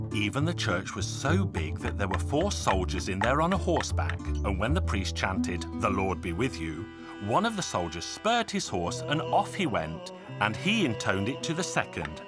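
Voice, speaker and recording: Cartoon folk-tale narrator: boastful tall-tale hero, earnest and theatrical, wildly varied intonation, music underneath. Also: male voice, medium pitch. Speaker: storyteller. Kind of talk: animated folk-tale storytelling.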